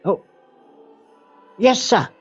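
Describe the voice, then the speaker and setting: An actor playing a timid, downtrodden but good-hearted clerk in a radio play, meek and deferential. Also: male voice, very slow, highs cut off, faint music behind. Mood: nervous.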